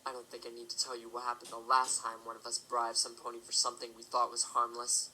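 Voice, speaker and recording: A man playing a stern, protective pony father in an amateur audio drama, speaking in an admonishing, deliberate way. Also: medium pitch, monotone, pushed and bright, a little hiss or hum. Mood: regretful. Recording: faint music behind.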